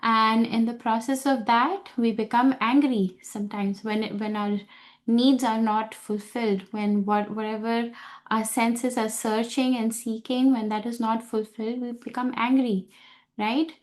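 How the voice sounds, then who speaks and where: Slow, searching woman teaching scripture. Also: fairly high pitch, fairly even intonation. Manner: slow, searching, many fillers and restarts. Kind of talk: unscripted talk on scripture.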